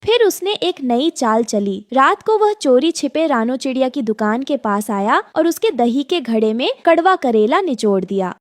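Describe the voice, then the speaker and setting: A woman's voice: high voice, expressive, normal speed, hardly any pauses, no room sound, clean studio sound. A woman narrating a scripted moral story for a cartoon as its storyteller.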